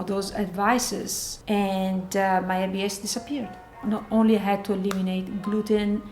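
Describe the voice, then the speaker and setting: An older woman with a lilt talks casually and animatedly, sprinkling in "you know." Her mid-pitched voice rings in an echoey room, with faint music behind. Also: vocal fry, darkish tone. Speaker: co-host. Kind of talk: casual chat.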